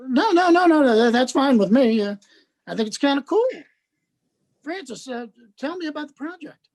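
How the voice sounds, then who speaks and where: A woman's voice: sits high, animated, normal speed, a little mellow. A woman playing an awkwardly friendly, chatty male social worker in a dramatized script reading, hesitant and repeating words. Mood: chatty, eager.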